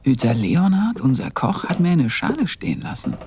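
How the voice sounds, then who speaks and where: An actor playing a hapless, whining young monastery novice who mutters and exclaims to himself in a fantasy audio drama. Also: male voice, fairly high pitch, huge pitch swings, normal speed, background noise. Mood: muttering, musing.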